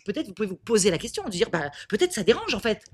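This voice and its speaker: An actress playing a pushy, teasing clown interviewer who argues in circles and often breaks into laughter. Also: female voice, medium pitch, big pitch swings, fast, slightly rough. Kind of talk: comic clown sketch interview.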